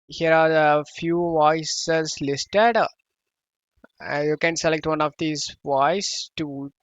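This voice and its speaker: A man hosting a step-by-step software tutorial, speaking loosely phrased non-native English. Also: a little high, level tone, extremely slow, no room sound, muffled recording. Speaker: tutorial host.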